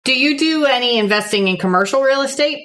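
A woman's voice: high-pitched, animated, fast, fairly bright tone. A woman hosting an interview, asking questions in a conversational style. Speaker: podcast host, interviewer.

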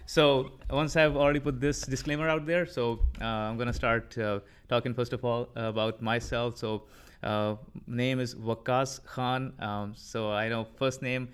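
A man in his thirties from Pakistan with a Pakistani accent, speaking haltingly with frequent fillers as a podcast interview guest. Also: medium pitch, steady tone, clean tone. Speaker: interview guest, political commentator.

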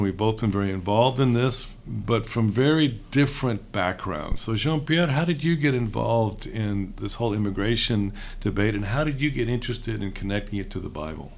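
A middle-aged man from Houston hosting a relaxed conversation, chatty with frequent hesitations. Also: fairly low pitch, moderate pace, hardly any pauses. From raised in Houston. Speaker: host, seminary professor. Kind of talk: casual conversation.

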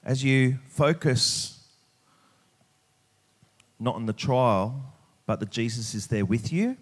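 A man preaching a sermon, speaking emphatically and pausing deliberately on key words. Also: mid-range voice, husky. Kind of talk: sermon with scripture reading.

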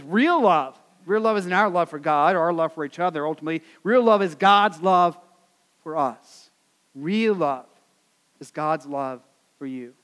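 American male pastor, high voice, earnest sermon delivery, echoing church hall. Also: fairly bright tone, clean tone. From United States. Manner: earnest, repetitive emphasis, many fillers.

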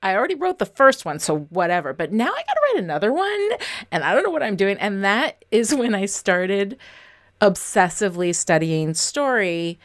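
A woman's voice: mid-range voice, very animated, moderate pace. A woman guest, a novelist and writing teacher, talking candidly and informally in an interview, with a self-deprecating, storytelling way of speaking.

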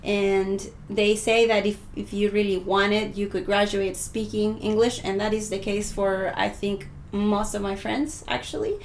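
A woman's voice: medium pitch, level tone, measured pace, hardly any pauses, slight background noise. A woman from southern Chile, a native Spanish speaker in her thirties, chatting in an enthusiastic, extroverted way as a podcast guest. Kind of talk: casual conversational interview, personal storytelling.

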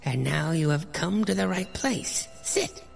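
An ancient jungle crone, acted out by a man, speaks slowly and ominously. The voice is strained and gravelly, full of grating rasp, ringing in a long reverb over music as in a horror comic read as a radio play. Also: high voice, animated, pitched above the performer's own voice, full character voice. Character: ancient old woman, sinister jungle cave witch.

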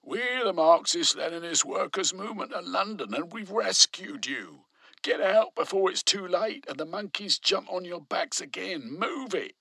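A man voicing a fiery male revolutionary leader who rallies workers with rousing shouts. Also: high voice, lively intonation, moderate pace, vocal fry, no echo, voice pushed up, heavily acted.

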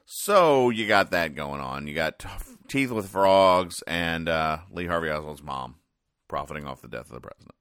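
A man hosting a casual comedy podcast, recapping things in a deadpan, rambling, halting way. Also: deep voice, clear, resonant tone, no room sound, clean studio sound. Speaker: podcast host.

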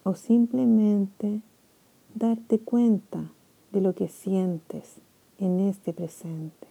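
A woman leads a body-scan meditation for sleep. Her voice is deeply mellow and warm, and she moves very slowly, letting phrases hang with long gentle pauses. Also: level tone, gravelly, husky, rough recording. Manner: slow, soft, soothing with long pauses. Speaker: meditation guide.

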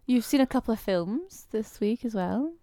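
A woman's voice: fairly high pitch, lively intonation, normal speed, mellow, no room sound, clean studio sound. A Scottish woman co-hosting a podcast, chatting casually and filling her talk with "like". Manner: chatty, fills speech with "like". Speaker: podcast co-host. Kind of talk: casual chat.

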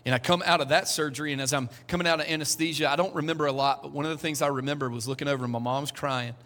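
A bright, fairly high-voiced man. He talks to the congregation like a friend and drops joking asides. His voice carries a long echo from a church hall. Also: fairly even intonation, racing. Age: 40s. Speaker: pastor. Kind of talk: sermon.

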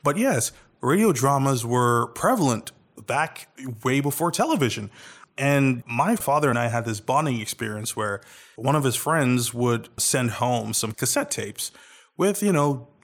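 A young man co-hosting a casual podcast, animated and jokey, with quick bursts of speech. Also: mid-range voice. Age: young adult. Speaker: podcast co-host.

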